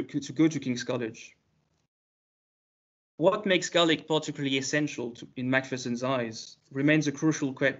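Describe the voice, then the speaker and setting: A man presenting a prepared academic paper at a conference, reading in a measured way with occasional restarts. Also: medium pitch. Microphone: video call. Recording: slightly muffled video call audio.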